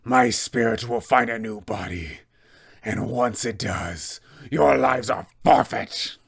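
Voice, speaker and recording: Man doing a gravelly undead knight, wrathful. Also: mid-range voice, pitched below the performer's own voice, exaggerated voice. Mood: vengeful. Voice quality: gravelly, slow menacing delivery. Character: vengeful undead male knight, wrathful and proud.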